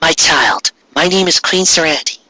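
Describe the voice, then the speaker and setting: A man voicing a solemn, gentle queen with a formal, measured, motherly manner in a fan fiction reading. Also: on the high side, dry recording.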